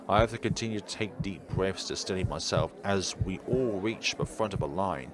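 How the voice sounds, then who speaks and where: A man reading a visual novel aloud as a let's player, mixing narration with casual asides and sometimes tripping over words and correcting himself. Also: lowish voice. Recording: music playing behind the voice. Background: game music.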